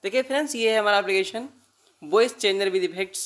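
A man's voice: high-pitched, fairly quick, a bit bright. An Indian man with a sore throat, giving a casual app tutorial as the host.

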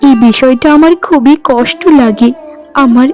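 A woman voicing a humble, devoted young village wife in a cartoon drama, earnest and soft-hearted. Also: high-pitched, moderate pace, lightly acted. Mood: hurt, wistful. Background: plucked-string music.

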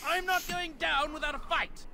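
An actor playing a shifty, defensive bandit cornered in a fantasy video game. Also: male voice, very high-pitched, steady tone, moderate pace, vocal fry, crowd noise. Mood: defiant. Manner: shifty, defensive, self-excusing. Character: cornered male bandit, evasive and pleading, then defiant.

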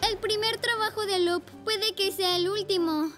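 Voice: extremely high pitch, moderate pace. An actor voicing a thoughtful, cautious young motorcycle friend in a children's cartoon, earnest and caring. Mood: worried. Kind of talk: animated children's cartoon dubbing. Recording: loud children's music behind the voice.

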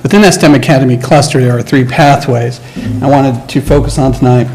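Measured man presenting to a school board. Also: medium pitch, a little mellow, low-quality recording. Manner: measured, with frequent uh fillers and restarts. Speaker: career and technical education presenter. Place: school board meeting room.

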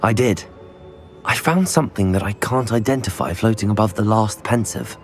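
Low-voiced, polite young wizard student, acted by a man. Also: normal speed, soft background music, close to an everyday voice. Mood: polite, composed. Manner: polite, composed, earnest. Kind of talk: video game cutscene acting.

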